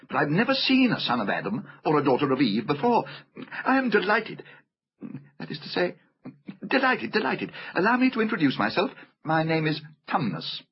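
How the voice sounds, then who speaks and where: A man's voice: on the high side, huge pitch swings, moderate pace, lo-fi sound, pitched above the performer's own voice. A man voicing a timid, flustered and courteous male fawn in a storybook, with fussy, repetitive, over-polite speech. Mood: flustered, delighted.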